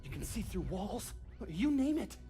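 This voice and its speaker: An actor playing an eager, nervous tech geek in a video game, chatty and stammering. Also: male voice, sits high, big pitch swings, normal speed, over music. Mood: eager, starstruck. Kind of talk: video game cutscene acting.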